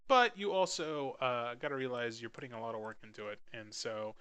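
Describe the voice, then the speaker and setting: A young man with a bright, crisp voice at a middling pitch talks casually through an editing tutorial. He is offhand and self-deprecating, recorded close on the mic as a voice-over. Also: fairly quick. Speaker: audio drama editor giving a tutorial.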